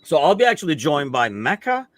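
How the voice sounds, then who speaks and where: A man's voice: a little high, big pitch swings, a little fast, dry recording. A man hosting a live football podcast, talking casually with lots of fillers and frequent laughs. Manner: rambling, many fillers, laughs often. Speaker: podcast host.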